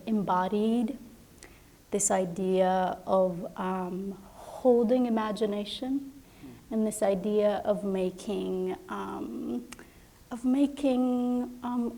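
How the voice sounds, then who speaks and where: A woman from Somalia, a playwright on a panel, speaking softly and haltingly with many fillers as she reflects. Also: medium pitch, creaky, rough recording. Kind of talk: reflective personal sharing.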